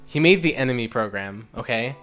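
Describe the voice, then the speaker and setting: A man's voice: mid-range voice, expressive, a little fast, fairly bright tone, soft background music. A man co-commentating on a video game in casual, wry banter, questioning and nitpicking as he goes. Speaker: Let's Play co-commentator.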